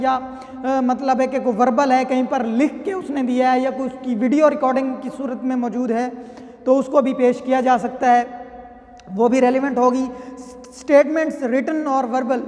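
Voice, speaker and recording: Very high-pitched Pakistani man lecturing, heavy reverb. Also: a little mellow. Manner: conversational, reads clauses then explains them. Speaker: law lecturer. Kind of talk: explanatory lecture with worked examples. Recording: long reverberant hall-like echo.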